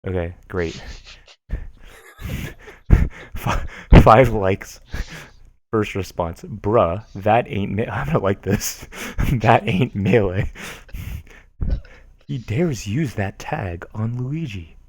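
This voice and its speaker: Low-voiced man reading posts, dry sound. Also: animated, takes it slowly, pauses often, a little mellow, no room sound. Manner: amused, chuckling asides between read lines. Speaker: streamer reading forum posts. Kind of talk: reading posts aloud with casual commentary.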